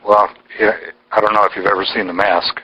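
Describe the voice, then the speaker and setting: Male caller, argumentative and casual, with a fairly deep but sharp, forceful voice over the telephone. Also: flat delivery, fairly quick, breathy edge. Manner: insistent, argumentative. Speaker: caller questioning an official. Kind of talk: phone conversation, informal debate. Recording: recorded telephone call.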